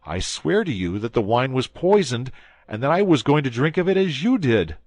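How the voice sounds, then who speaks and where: A man voicing a young guardsman hero who speaks urgently and earnestly, raised somewhat above his own low register. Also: sing-song, normal speed, darkish tone, dry recording. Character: young male guardsman hero, passionate and alarmed.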